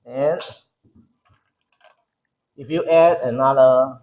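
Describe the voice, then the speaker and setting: Halting male lecturer with a Taiwanese accent. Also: mid-range voice, steady tone, phone-quality sound. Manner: halting, repeats phrases as he explains. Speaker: university lecturer. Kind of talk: classroom engineering lecture. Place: indoor classroom.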